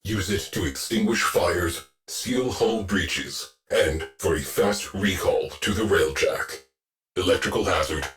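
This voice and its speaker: A man voicing a stern, deadpan artificial intelligence that runs a warship in a video game, giving clipped military orders. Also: sits low. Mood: clipped, businesslike. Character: terse, grim military ship artificial intelligence, male, commanding. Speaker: shipboard tactical officer. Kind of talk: video game mission voice lines.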